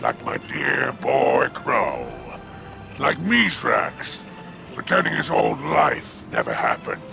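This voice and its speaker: A theatrical alien crime lord with a gravelly growl and wildly swooping pitch. The delivery is slow, sly and menacing, a video game monologue over loud music. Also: male voice, huge pitch swings, heavily acted.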